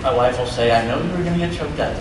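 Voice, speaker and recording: A man's voice: medium pitch, fast, over a noisy crowd. A man hosting an informal stage presentation to an audience, recorded in a large auditorium from the seats. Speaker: event host presenting on stage. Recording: echoey large hall from far off, noisy.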